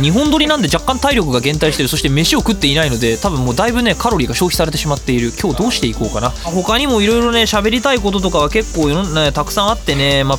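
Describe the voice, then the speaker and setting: A man hosting a radio show in a casual solo monologue, ranting in an animated way with theatrical emphasis. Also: high-pitched.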